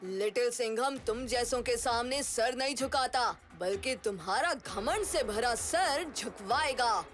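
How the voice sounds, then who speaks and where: A woman's voice: on the high side, a little slow. A woman playing a brave, confident young boy superhero cop in a cartoon, with a bold, declamatory delivery. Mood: defiant. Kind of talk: cartoon hero dubbing. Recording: loud cartoon music behind.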